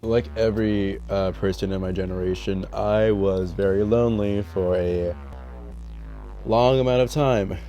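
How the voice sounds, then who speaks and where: A young man with a cold talks to his mic in a loose, meandering storytime ramble, cursing and mocking himself. His voice sits a bit low and sounds stuffy and nasal. Faint music plays quietly underneath. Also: steady tone, unhurried, muffled recording. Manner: rambling, profane, self-deprecating storytelling. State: sick, nasal. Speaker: storytime vlogger. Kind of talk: casual storytime vlog. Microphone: dedicated voice mic.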